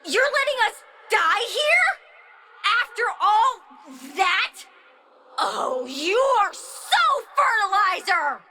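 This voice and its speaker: A woman's voice: very high voice, sharp tone, far from the mic, slight background noise, exaggerated voice. A woman voicing a childlike, plant-powered villainess in a video game, girlish and bratty, petulant and gleefully menacing, with a sing-song delivery. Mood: petulant, upset. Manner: bratty, sing-song, swinging from cutesy to threatening. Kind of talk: video game character voice lines.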